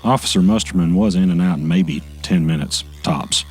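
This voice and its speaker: A low-key male narrator, played by an actor tells a mystery in the first person. His voice is very deep and his delivery measured and matter-of-fact. Music plays beneath the narration. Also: natural voice.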